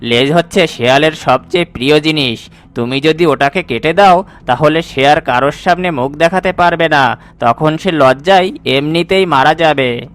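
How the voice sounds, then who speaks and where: A man voicing a shrewd crow who questions and counsels a friend in an animated moral story. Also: a little high, normal speed, close to an everyday voice. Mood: shrewd, advising.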